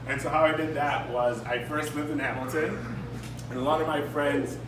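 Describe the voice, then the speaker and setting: A man's voice: on the high side, fairly even intonation, normal speed. A man from South Africa, born in Congo, performing casual, conversational stand-up comedy to a live room. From born in Congo, raised in South Africa. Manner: casual, with self-deprecating joking and swearing. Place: live comedy event before an audience. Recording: echoey live room, noisy, distant mic.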